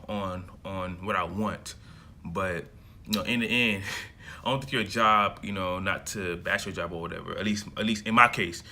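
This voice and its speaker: Chatty young man, bright rambling voice. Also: deep voice. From America. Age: young adult. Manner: loose and chatty, full of fillers and restarts. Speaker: self-development vlogger. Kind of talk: casual rambling monologue to camera.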